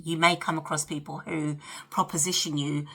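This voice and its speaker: Low-pitched South London woman, bright voice. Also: little pitch movement, moderate pace, vocal fry. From South London, England. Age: 50s. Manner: chatty, conversational, addressing viewers directly. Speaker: vlog host. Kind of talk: casual chat to camera during a get-ready-with-me.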